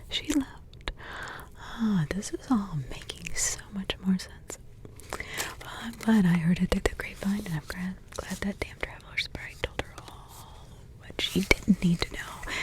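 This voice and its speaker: An ancient, wry witch mother, played by a woman in an ASMR roleplay, who whispers everything softly. She talks very slowly, pondering aloud and humming to herself between thoughts. Her pitch sits in a middle range. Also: natural voice. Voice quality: soft whisper throughout. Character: centuries-old witch mother, musing and wry.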